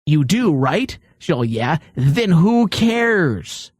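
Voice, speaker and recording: A male talk host with a fairly high voice speaks in a casual, storytelling style. He is opinionated and swears offhandedly. The sound is close and dry. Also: big pitch swings, slow pace, breathy edge, no room sound.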